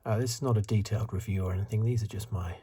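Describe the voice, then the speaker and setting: A man in his fifties speaks in a soft ASMR hush. His voice is low and mellow, very breathy and whispery. He muses unscripted and haltingly, feeling his way through each thought. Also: fairly even intonation. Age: 50s. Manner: hesitant, halting, unscripted musing. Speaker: host of an ASMR video channel.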